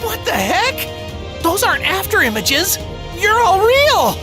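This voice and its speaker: A woman's voice: very high voice, very animated, measured pace, music in the background, full character voice. A woman playing a cheerful, guileless young male martial arts hero in an anime game, boyish, upbeat and plain-spoken. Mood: amazed, excited. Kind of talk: anime video game voice acting.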